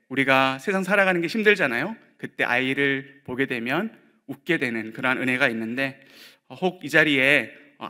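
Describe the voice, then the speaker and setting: A male pastor giving a sermon in a conversational way, with light humor and frequent pausing fillers. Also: mid-range voice, pushed and bright.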